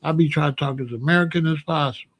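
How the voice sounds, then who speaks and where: A man with a somewhat high voice runs his own opinion show. He speaks bluntly in loose street slang and keeps firing rhetorical questions. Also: fast talker, fairly bright tone. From Chicago. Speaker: show host. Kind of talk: casual opinion monologue.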